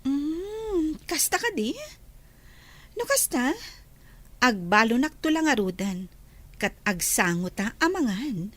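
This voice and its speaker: High-pitched, flirtatious Ilocano temptress. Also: female voice, big pitch swings, a bit bright, heavily acted. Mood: coaxing, pouty. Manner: coquettish, coaxing, drawn-out delivery. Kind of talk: Filipino radio drama acting.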